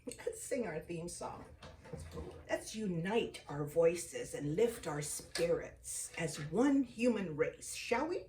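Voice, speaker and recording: A woman's voice: lowish voice, animated, slow, distant mic. A woman in her fifties whose first language is Tagalog, hosting a chatty vlog and dropping into whispered asides. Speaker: vlog host. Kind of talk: casual chat.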